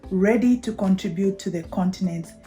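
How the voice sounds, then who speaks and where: A middle-aged woman from Zambia with a Zambian accent, hosting a prepared explainer in a measured, deliberate way. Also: mid-range voice, warm tone, soft background music. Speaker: video channel host. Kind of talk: prepared explainer talk to camera.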